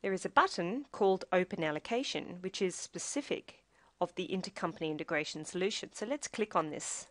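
A woman reading a scripted software tutorial, explaining each step in a measured, instructional way. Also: lowish voice, lively intonation, dry recording.

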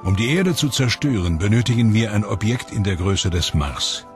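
A man's voice: on the low side, animated, normal speed. A man reading scripted narration for a science documentary. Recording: music under the voice. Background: background music.

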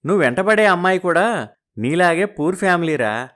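A man acting out scheming young men's casual, slangy buddy talk in an animated moral story. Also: high-pitched, brisk. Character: scheming poor young man hunting for a bride.

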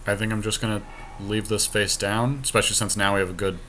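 A man's voice: lowish voice, level tone, quick pace, pushed and bright. A man co-hosting a podcast, thinking aloud through a card game in casual commentary with some mild swearing. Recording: clear background noise.

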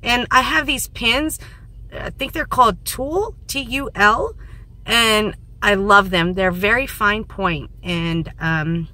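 A woman in her thirties with a hoarse, fading voice, chatting casually and rambling in a vlog from a parked car into a phone held at arm's length. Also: mid-range voice, takes it slowly, pushed and bright, low-quality recording. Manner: rambling, self-mocking, laughs often. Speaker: vlogger.

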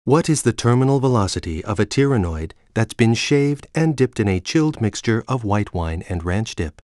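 A man voicing a calm, implacable computer AI for a game, speaking in a formal, measured way. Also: fairly low pitch, no room sound, studio quality, lightly acted. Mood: cold, quizzing. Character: calm, implacable male computer AI guarding a facility.

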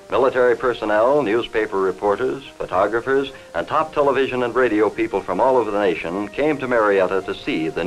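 A man with an American accent narrates a 1950s industrial film in a fairly low voice. His delivery is formal, measured and factual. It sounds like a vintage film soundtrack, with faint music behind him. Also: poor audio. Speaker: newsreel narrator.

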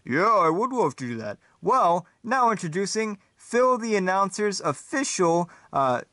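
A man's voice: high-pitched, fairly bright tone, no echo, pitched above the performer's own voice. A man voicing a pushy infomercial announcer with an over-the-top sales manner.

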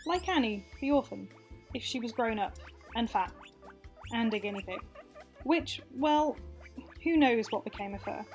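A woman's voice: fairly high pitch, animated, measured pace, long pauses, soft background music. A young woman acting out a wry, frank, confessional monologue as narrator of a radio play. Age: young adult.

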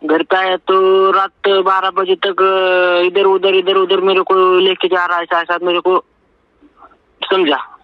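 A man's voice: high voice, monotone, slow, dry recording. A man from Maharashtra, a native Marathi speaker with a Marathi accent, talking casually as a caller and repeating his words. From Maharashtra, India. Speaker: caller, follower of a guru. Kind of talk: casual phone conversation. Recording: muffled narrow-band phone call.

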